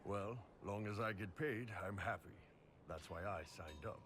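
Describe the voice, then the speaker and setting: An actor playing an easygoing mercenary captain in a video game, talking bluntly and casually. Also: male voice, on the low side, moderate pace, background noise, natural voice. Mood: blunt banter. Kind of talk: scripted video game dialogue.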